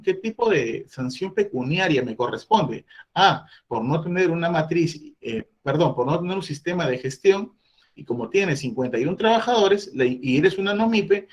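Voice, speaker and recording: A middle-aged man giving a training talk on labor inspections, explaining step by step and voicing the questions an employer might ask. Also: high-pitched. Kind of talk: online training presentation.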